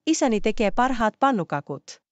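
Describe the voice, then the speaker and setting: Earnest schoolgirl classmate played by a woman, very animated intonation, dry close sound, deliberate story dialogue. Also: fairly high pitch, no echo. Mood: friendly, curious. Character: schoolgirls Lisa and Sarah, earnest classmates making up. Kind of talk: scripted language-learning story dialogue.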